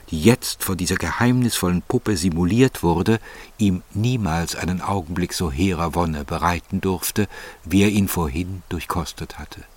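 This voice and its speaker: A man reading scripted literary narration as the narrator. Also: low voice.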